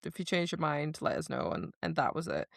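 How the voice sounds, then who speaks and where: A woman co-hosting a podcast, casually discussing a book in an expressive, gushing way, acting out the dialogue. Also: low-pitched, a little fast, creaky, dry recording, studio quality. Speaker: podcast co-host.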